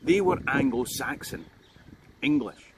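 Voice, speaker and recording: A man's voice: medium pitch, lively intonation, a little slow. Joking Scottish man, noisy outdoor take. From Scotland. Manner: jokey and conversational, with asides to the viewer. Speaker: history tour guide. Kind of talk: informal history talk to camera. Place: outdoors on a tidal island. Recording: loud background noise.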